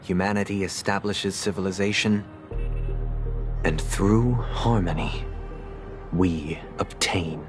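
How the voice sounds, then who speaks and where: A calm, low-voiced idealist leader. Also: male voice. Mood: solemn. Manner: measured, formal, gently condescending. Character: calm, persuasive young male leader, idealistic and controlling. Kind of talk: video game voice acting. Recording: game cutscene with loud score. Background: orchestral music.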